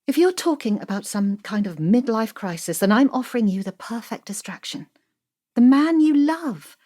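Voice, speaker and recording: A woman's voice: fairly high pitch, animated, normal speed, warm tone. An actress playing a proud, controlling middle-aged woman banker in an audio drama, assertive and dismissive.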